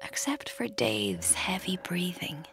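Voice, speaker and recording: An actress with an Irish accent playing a scheming, guilt-haunted young woman writer in a radio drama, with a breathy, creaky voice. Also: lowish voice, huge pitch swings, takes it slowly, background noise, exaggerated voice. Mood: hushed, dread. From Ireland. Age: young adult. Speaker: lead actor and narrator in a radio drama. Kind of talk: intimate first-person radio drama narration.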